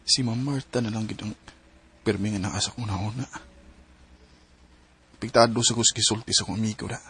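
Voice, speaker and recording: A man's voice: fairly low pitch, steady tone, normal speed, a bit bright, breathy edge, full character voice. A man playing a shy, smitten young employee who speaks hesitantly in a radio drama, with a Filipino Cebuano accent. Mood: conflicted, brooding. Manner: hesitant, stammering. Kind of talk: Philippine radio drama acting.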